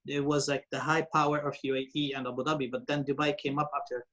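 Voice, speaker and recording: A man's voice: mid-range voice, level tone, fast, a bit bright, lo-fi sound. Man rambling with lots of fillers. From Lebanon. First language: Arabic. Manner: rambling, many fillers and restarts. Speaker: radio presenter and TV host. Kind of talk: interview guest, casual storytelling.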